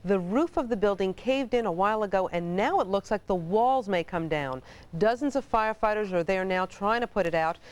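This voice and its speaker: Mid-pitched American news anchor. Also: expressive, brisk, smooth. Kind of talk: scripted TV news delivery.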